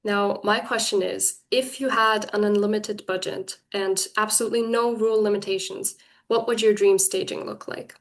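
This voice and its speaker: A woman's voice: mid-range voice, fairly even intonation, moderate pace. A young woman interviewing a music artist, enthusiastic and chatty like a fan.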